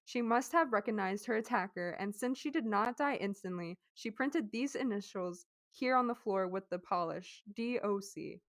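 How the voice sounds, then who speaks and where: A woman playing a methodical police inspector in a radio drama, speaking in a measured, formal way. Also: mid-range voice, lightly acted. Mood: businesslike, probing. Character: methodical, knowing police inspector questioning suspects. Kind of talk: amateur radio drama acting.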